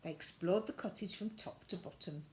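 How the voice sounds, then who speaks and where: A woman reading a children's story aloud, with deliberate, expressive narration. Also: on the low side, a little hiss or hum.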